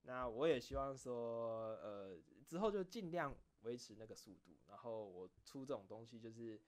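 A man with a Taiwanese Mandarin accent and a mid-pitched voice hosts a casual late-night chat for his online audience. He rambles along informally, often doubling back to repeat or correct his phrases. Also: animated, normal speed. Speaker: online video creator and host.

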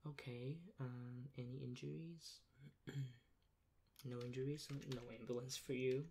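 A young man in a soft-spoken ASMR role play as a rude emergency dispatcher, talking in a deadpan, sarcastic and dismissive way. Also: medium pitch, unhurried. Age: young adult. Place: small plain room indoors. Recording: clear background noise, keyboard clicks.

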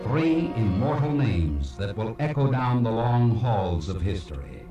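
A man's voice: mid-range voice, very animated, measured pace, dull audio. Echoey, distant male documentary narrator. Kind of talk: scripted documentary narration for a slide show. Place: played from a cassette, heard in a room. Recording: echoey, distant playback of cassette tape. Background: music.

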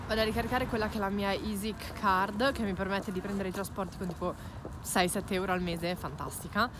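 A woman in her twenties chatting excitedly as she vlogs outdoors on city streets, holding her phone at arm's length. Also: mid-range voice, fairly quick, noisy background. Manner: chatty, excited, mixes English words. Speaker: vlogger. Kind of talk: casual travel vlog. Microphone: phone held at arm's length.

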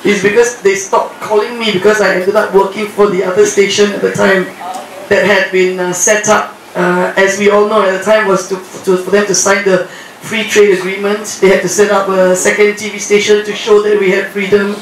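A woman's voice: medium pitch, level tone, moderate pace, a little hiss or hum. An older woman, an actress on a panel, telling a wry anecdote with a chuckle in her voice. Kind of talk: post-screening Q&A anecdote. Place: screening room, seated. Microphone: handheld mic. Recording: very echoey room.

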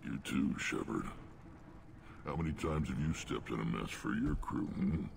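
A man playing a gruff, battle-hardened reptilian alien warrior in a video game, blunt, wry and unhurried, with a growling voice. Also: very deep voice, noisy background, full character voice. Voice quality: gravelly, growling. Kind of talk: video game character dialogue.

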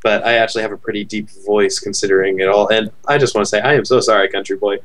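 A man co-hosting a casual podcast on a close headset mic, rambling and thinking out loud. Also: fairly low pitch, steady tone, fast talker, poor audio. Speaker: podcast co-host.

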